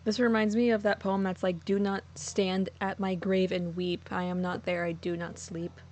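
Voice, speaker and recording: A woman talks as a let's play streamer, at a middling pitch. Her commentary is casual and conversational. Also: level tone, moderate pace, a little mellow.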